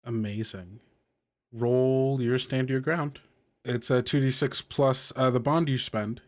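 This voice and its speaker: A man's voice: mid-range voice, fairly even intonation, slow pace. A man acting as game master, mixing improvised narration with informal table talk and talking the rules through as he goes.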